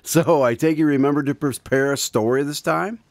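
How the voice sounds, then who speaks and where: A man playing a formal, gently needling radio drama announcer with a theatrical presenter's delivery. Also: fairly high pitch, moderate pace, dry recording, lightly acted. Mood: dry, curious. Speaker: announcer and host of the show. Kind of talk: scripted old-time radio announcing.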